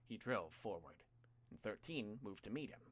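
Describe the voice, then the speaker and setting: A man narrates a piece of fiction in a fairly low voice. He reads from a script as an audiobook narrator, with expressive delivery. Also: moderate pace, breathy edge.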